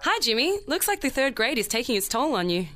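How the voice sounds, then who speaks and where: A woman's voice: fairly high pitch, sing-song, fairly quick, over music. A woman playing a confident, persuasive schoolteacher in a noir radio play, with a casual, coaxing manner. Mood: wry, friendly.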